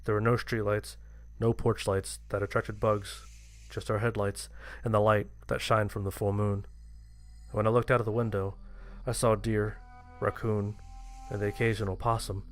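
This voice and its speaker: A man's voice: on the low side, flat delivery, normal speed, faint music behind. A man reading a scripted first-person horror story as its narrator.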